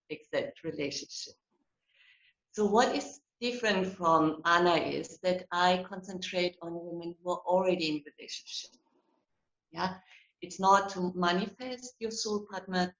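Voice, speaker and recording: A woman from Germany with a German accent, a psychologist and coach, answering interview questions in an animated way with frequent laughs and repeated words. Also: on the low side. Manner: animated, laughs between phrases, repeats words as she restarts. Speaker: guest, psychologist and relationship coach. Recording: roomy, dull lo-fi sound.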